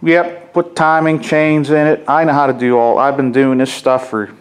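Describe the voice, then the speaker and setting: A man's voice: medium pitch, little pitch movement, normal speed, smooth. A middle-aged man, a mechanic, talking casually and ramblingly off camera while he shows his work in a garage workshop. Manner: folksy, rambling, plainspoken. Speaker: mechanic and chainsaw hobbyist. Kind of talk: casual workshop how-to vlog. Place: cluttered garage workshop, indoors.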